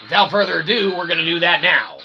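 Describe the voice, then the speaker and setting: A middle-aged man with a fairly high, gravelly voice rambles through a comedic monologue as show host. His wording is mock-formal and roundabout, punctuated by little check-in tags. Clear background noise sits behind him. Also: very animated, fast. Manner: mock-formal, wordy, with repeated "okay" and "right" tags.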